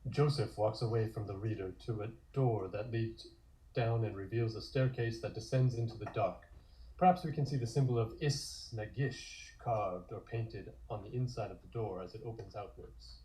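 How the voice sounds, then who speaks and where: A man's voice: fairly low pitch, normal speed, warm tone, rough recording. A man narrating scripted scenes in the present tense for a comic table read.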